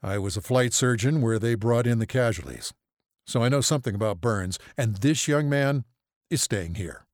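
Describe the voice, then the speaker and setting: A man plays a combative male physician in an audiobook story. He is gruff and sure of his authority, speaking bluntly with animated pitch swings, recorded dry and close. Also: moderate pace, no room sound, lightly acted. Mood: confrontational. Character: gruff, blunt, burn-savvy older family physician, combative. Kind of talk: audiobook character acting.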